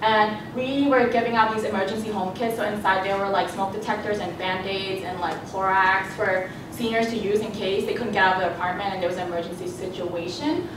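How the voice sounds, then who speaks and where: Young woman, native Cantonese speaker, student presentation, very echoey hall, distant mic with steady rumble. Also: fairly quick, few pauses. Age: young adult. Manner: conversational, with fillers and restarts. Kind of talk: presentation talk.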